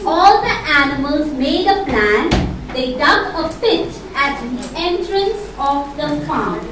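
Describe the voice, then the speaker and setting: An Indian-accented woman tells a story to children at a school show. Her voice is high and comes from some way off the mic. The room is very echoey, with clear noise around her. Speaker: puppet show narrator and host. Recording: echoey noisy hall, distant mic.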